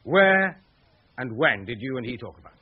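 A muffled radio drama recording of an obsessive husband. His husky voice is very animated as he presses his questions with a researcher's pedantry and open suspicion. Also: mid-range voice, moderate pace. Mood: suspicious, pressing.

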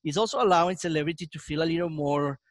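A man's voice: fairly high pitch, fast talker, no echo, studio quality. A man, hosting a casual video-call interview, rambling and restarting his questions. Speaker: podcast host. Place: home basement room with brick wall. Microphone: headset mic.

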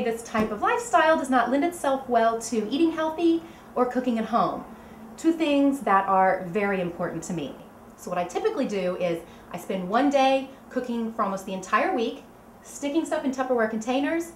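A woman in her thirties from Oklahoma, cheerfully talking a home cooking demo to camera in her kitchen. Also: a little high. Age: 30s. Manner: chatty, bubbly, explains as she cooks. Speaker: home cook auditioning for a cooking show. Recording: echoey kitchen with faint room noise.

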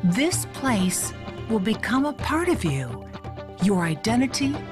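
A woman narrating a university promotional spot in a slow, lilting style. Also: fairly high pitch, big pitch swings, over music. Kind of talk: promotional voice-over.

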